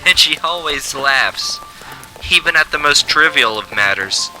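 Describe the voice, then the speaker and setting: Man acting a brash, bright-voiced hot-headed teenage boy. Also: a little high, expressive, unhurried, faint music behind, full character voice, low-quality recording. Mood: regretful, earnest. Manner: brash, combative. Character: hot-headed teenage boy, loud, quick to anger, secretly soft-hearted. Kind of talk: amateur anime-style radio drama acting.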